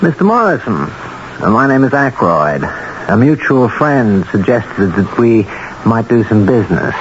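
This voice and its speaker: An actor playing a polite, businesslike broker of murder contracts in a 1970s radio drama, measured and coolly instructive. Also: male voice, medium pitch, sing-song. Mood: courteous. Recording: muffled vintage radio with faint noise.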